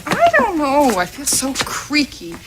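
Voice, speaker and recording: A weary sitcom wife. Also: female voice, high-pitched, huge pitch swings, unhurried, very noisy, heavily acted. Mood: tired, reluctant. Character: wife feeling run-down, adult woman. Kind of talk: 1980s sitcom acting.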